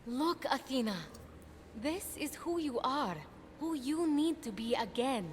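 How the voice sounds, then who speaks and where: An actress voicing a stubborn, confident young heroine in a video game, earnest and persuasive. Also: female voice, high voice, animated, a little slow, slight background noise. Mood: encouraging. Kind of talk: video game cutscene acting. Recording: music behind the voice.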